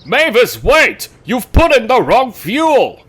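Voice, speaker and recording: A man's voice: very high-pitched, very animated, takes it slowly, a bit bright, breathy edge, exaggerated voice. A man voicing a friendly, well-meaning little male steam engine in an amateur audio drama. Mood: urgent.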